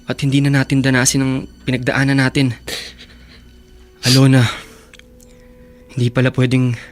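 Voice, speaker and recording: A devoted young man played by an actor in a radio drama. His medium-pitched voice is sincere, measured and reassuring. Soft music runs behind it. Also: lightly acted.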